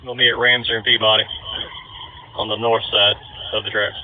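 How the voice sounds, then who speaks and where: Low-voiced man on tinny fire radio. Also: flat delivery, fairly quick, slight background noise. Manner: clipped procedural radio phrasing. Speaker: fire incident commander. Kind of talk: terse emergency radio dispatch traffic. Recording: tinny narrowband two-way radio transmission.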